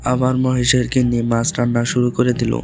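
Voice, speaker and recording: A man's voice: medium pitch, monotone, fast talker. A man narrating a scripted cartoon story. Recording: loud music under the voice.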